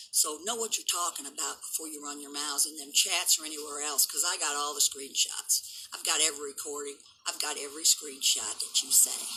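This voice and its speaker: Brief: woman, 70s, rough and hard-edged, low-set pitch, taunting, boastful vlog rant. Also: pushed and bright, a little hiss or hum, rough recording. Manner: defiant, boastful, taunting critics. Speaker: vlogger and dog breeder. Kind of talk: unscripted rant to camera.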